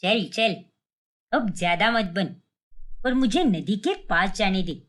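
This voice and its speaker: Casting: female performer as bossy, scolding middle-aged mother-in-law for a cartoon family tale, animated and sharp. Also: mid-range voice, slow pace, pitched below the performer's own voice. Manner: sharp, nagging, indignant. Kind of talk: cartoon family drama story.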